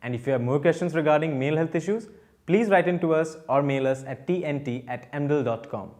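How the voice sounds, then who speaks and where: A man's voice: a little high, fast, a little mellow. A man hosting a youth health Q&A, explaining things upbeat and reassuringly straight to viewers. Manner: reassuring, addresses viewers directly. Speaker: host of a youth health Q&A show. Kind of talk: upbeat presenter explainer to camera.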